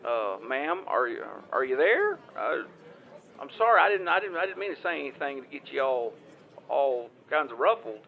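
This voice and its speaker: A man's voice: fairly high pitch, very animated, normal speed, creaky, phone-quality sound. A man playing a folksy, rambling small-town fellow. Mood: sheepish. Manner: rambling, stammering, repetitive. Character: folksy rambling small-town man, older ex-football player, injured rider. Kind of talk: improvised audio comedy phone call.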